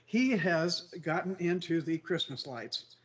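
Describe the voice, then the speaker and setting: A co-host of a casual online news chat show, slightly nasal and croaky from a cold, rambling with many fillers. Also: male voice, fairly high pitch, animated, unhurried, a bit bright. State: congested, recovering from flu.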